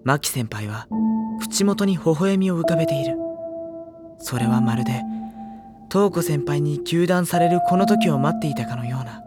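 A man acting a quiet, uneasy teenage schoolboy who narrates an audio drama. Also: on the high side, animated, moderate pace, lightly acted. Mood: uneasy, watchful. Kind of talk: first-person narration in Japanese audio drama. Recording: loud music under the voice.

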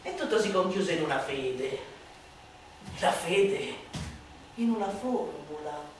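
A woman performing a satirical theatrical monologue in a declamatory, sardonic manner that swings between hushed and shouted delivery. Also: fairly low pitch, expressive, slow pace. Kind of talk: theatrical radio recitation of a satirical pamphlet. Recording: echoey hall, distant mic, noisy.

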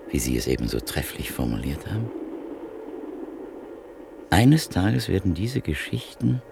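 A man's voice: extremely low pitch, gravelly, background noise, heavily acted. An actor playing an earnest, philosophical man who questions a writer in a radio play.

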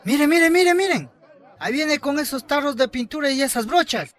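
A man playing an excitable, indignant townsman, urgent and complaining, in a radio drama. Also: extremely high pitch, normal speed. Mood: urgent, excited.

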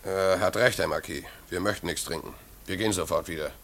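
A man's voice: low-pitched, a little fast, vocal fry, a bit bright. An actor playing a solemn village man in a 1950s radio play, respectful in address but blunt and firm. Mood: grave, respectful.

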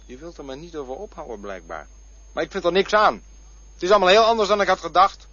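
An actor in a radio drama playing a fearful man who looks back on his poor childhood, talking in a poetic, musing and sometimes prickly way, with a husky voice. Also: high-pitched, fast, bright, forceful tone. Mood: prickly, defensive. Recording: dull lo-fi radio play recording.

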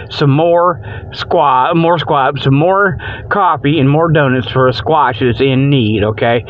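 A man hosting a comedic radio show in a rambling monologue, with folksy colloquial grammar and frequent little tag phrases. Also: fairly high pitch. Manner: repeats "okay" and "you know" as tags. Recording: dull lo-fi radio-like sound.

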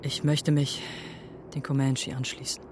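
A man's voice: mid-range voice, flat delivery, measured pace, lightly acted. Man playing a solemn young adopted Apache warrior. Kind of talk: German radio drama acting. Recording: music and outdoor sounds behind.

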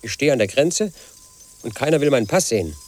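A man's voice: medium pitch, big pitch swings, a little fast, a little mellow. An actor in his late thirties playing a lonely, suspicious house painter, speaking a bitter inner monologue in a radio drama. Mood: indignant. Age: late 30s. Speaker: protagonist narrating his life.

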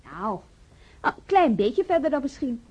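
Eager chatty nurse in old radio drama, airy mellow voice, lively, dull sound. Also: female voice, high-pitched, measured pace, slightly rough, heavily acted. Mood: cheerful, eager. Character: cheerful, obliging young female hospital nurse. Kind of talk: naturalistic radio drama acting.

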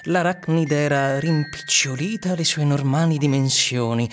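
An actor playing a grave storyteller, narrating an eerie tale for radio drama in a measured, solemn manner. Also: male voice, on the high side, lightly acted. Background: faint music.

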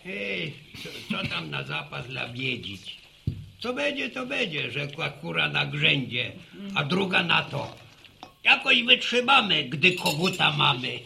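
Folksy old grandfather, very gravelly, slow drawl, radio drama. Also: a little high, very animated, sharp tone, a little hiss or hum, full character voice. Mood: folksy, teasing. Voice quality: creaky, gravelly. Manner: slow, homespun sayings, slight stammer. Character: folksy old village grandfather, wry, stubborn storyteller. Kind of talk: Polish rural radio drama acting.

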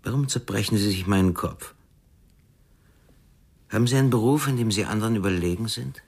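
An unflappable psychologist in a radio crime play. His voice is low and rough-edged, and he speaks with measured formality, probing and parrying. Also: a little mellow. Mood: composed, probing. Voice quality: husky. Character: unflappable, probing male psychologist turned counsellor. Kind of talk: German radio crime drama acting.

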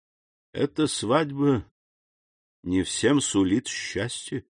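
A man plays a calculating storybook villain. He talks deliberately, slipping between scorn and false friendliness, mocking and insinuating, in a dry, close sound. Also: mid-range voice, animated, breathy edge, no room sound.

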